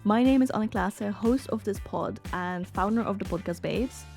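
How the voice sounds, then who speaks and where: A woman's voice: mid-range voice, normal speed, vocal fry, darkish tone, faint music behind. A woman hosting an interview podcast, speaking in an upbeat, enthusiastic way. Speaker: podcast host.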